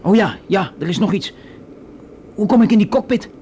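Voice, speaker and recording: An eager guy asking favours, highish voice, bit hesitant, radio play, some noise behind. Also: expressive, moderate pace, dark tone. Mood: coaxing, excited. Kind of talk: Dutch radio play acting. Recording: background noise.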